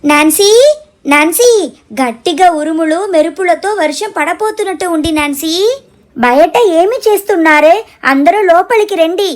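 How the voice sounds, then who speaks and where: A flustered household mother from a cartoon , voiced by a woman. Her voice is extremely high, and she frets and exclaims as she manages the family. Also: moderate pace. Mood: bossy. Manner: exclamatory, fretting. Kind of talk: animated family story dialogue.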